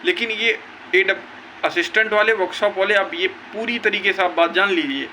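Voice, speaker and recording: Bright, high-voiced young man, insistent. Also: moderate pace, a little hiss or hum, poor audio. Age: young adult. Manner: emphatic, insistent, repetitive. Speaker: exam-news video creator. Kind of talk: informal update to viewers, recounting a meeting.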